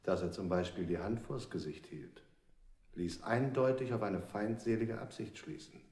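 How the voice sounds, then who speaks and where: Male actor as callous henchman, low voice, formal report, echoing radio drama. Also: moderate pace, darkish tone, natural voice. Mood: clinical. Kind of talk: 1960s German experimental radio play acting. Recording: echoey room, faint noise.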